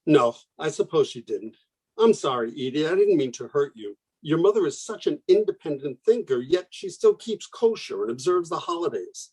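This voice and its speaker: Actor as a husky, skeptical young man. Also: a little high, very animated, moderate pace, darkish tone, poor audio. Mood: wry, gentle. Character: skeptical, idealistic young male mathematician friend. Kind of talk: radio play acting.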